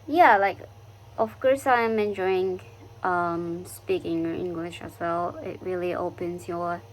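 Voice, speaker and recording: A woman's voice: fairly low pitch, a little hiss or hum, poor audio. A woman with a Japanese accent talking reflectively in a personal vlog, searching for words with many fillers and restarts. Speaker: vlogger. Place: living in London.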